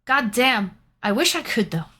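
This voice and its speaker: A woman's voice: fairly high pitch, big pitch swings, moderate pace, a bit bright, breathy edge. A young woman from Canada chatting casually with viewers while painting and reading out their comments. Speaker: streamer.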